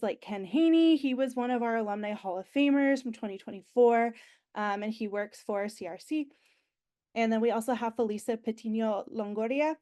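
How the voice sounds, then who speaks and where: A woman's voice: fairly high pitch, mellow. Chatty young woman with a nasal voice. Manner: chatty and enthusiastic, frequent ums and likes. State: recovering from being sick. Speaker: university alumni relations staff presenter. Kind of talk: orientation presentation.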